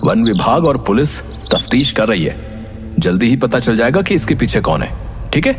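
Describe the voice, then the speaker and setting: A man's voice: medium pitch, very animated, normal speed. A man giving dramatic voice to village characters and a teacher in a horror cartoon. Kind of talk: cartoon dialogue. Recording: over loud music.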